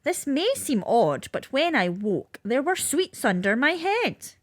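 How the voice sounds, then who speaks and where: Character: a woman doing a puzzled male dentist. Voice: high. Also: big pitch swings, unhurried, no room sound, studio quality, heavily acted. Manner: earnest, bewildered. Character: puzzled chief dentist man. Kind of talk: storybook character voice.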